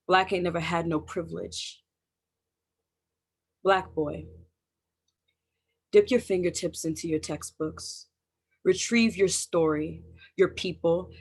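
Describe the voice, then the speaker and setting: A poet reciting spoken word in a deliberate, emphatic way over a video call. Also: medium pitch, slightly rough. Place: indoors, remote video call.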